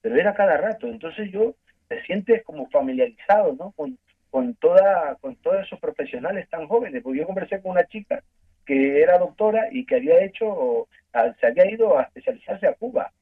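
A man from Ecuador with an Ecuadorian accent, earnestly telling his story as a guest in a phone-in interview, halting and restarting often. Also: high-pitched, expressive, breathy edge. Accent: Ecuadorian Spanish. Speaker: guest giving a testimony. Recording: tinny phone line.

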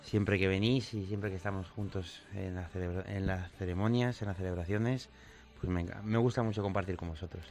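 A man's voice: low-pitched, steady tone, fairly quick, a little mellow. A man with a Madrid Castilian accent chatting as an interview guest, hesitant and often repeating his words. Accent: Madrid Castilian Spanish. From Madrid, Spain. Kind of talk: casual conversational interview. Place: radio studio. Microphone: studio microphone.

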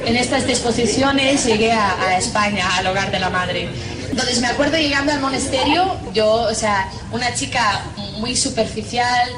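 Irish-accented religious sister, wry and self-mocking, slightly high voice, roomy distant recording. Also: female voice, moderate pace, a loud crowd. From Northern Ireland. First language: English. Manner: humorous, self-mocking storytelling with many fillers. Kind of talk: personal faith testimony. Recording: distant mic in an echoey noisy room.

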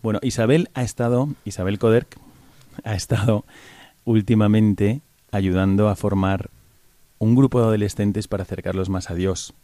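A man, a priest, hosting an interview in a calm, measured, explanatory way. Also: fairly low pitch, dry recording. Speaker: priest and program host. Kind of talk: radio-style interview hosting.

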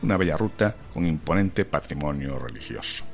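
A man reading a formal news voice-over in a measured, steady way. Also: a bass voice, soft background music. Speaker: news narrator. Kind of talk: scripted voice-over narration.

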